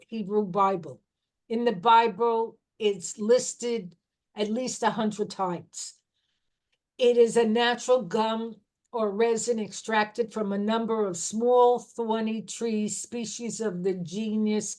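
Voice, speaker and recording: A middle-aged woman talking informally about herbal remedies, explaining slowly and restarting her sentences as she goes. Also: medium pitch. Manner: slow, unhurried explaining with restarts. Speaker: herbal educator.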